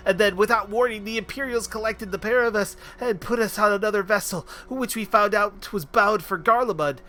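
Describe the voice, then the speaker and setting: A man's voice: sits high, a little fast, pushed and bright, pitched above the performer's own voice. A man voicing a small, cheerful male airship mechanic, telling a story and tripping over words. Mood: recounting.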